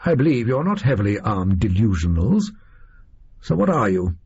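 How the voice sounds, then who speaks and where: A male reader voicing a haughty, analytical Victorian gentleman detective in an audio story, speaking formally and precisely. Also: fairly low pitch, sing-song, normal speed, husky, muffled recording, exaggerated voice. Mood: dry, curious.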